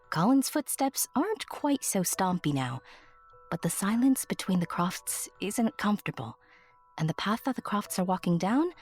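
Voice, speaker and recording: A woman playing a chatty, whimsical narrator in a fantasy audio drama, breathy and confiding, with playful asides. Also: medium pitch. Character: chatty, whimsical storyteller fond of her enchanted forest.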